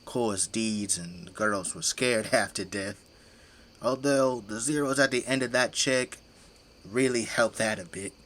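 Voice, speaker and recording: A man's voice: fairly low pitch, a little slow, a bit bright. An actor playing a folksy, boastful, tipsy middle-aged father who fights zombies with a flamethrower in an audio drama. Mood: candid, reminiscing. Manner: folksy, colloquial, easygoing bragging.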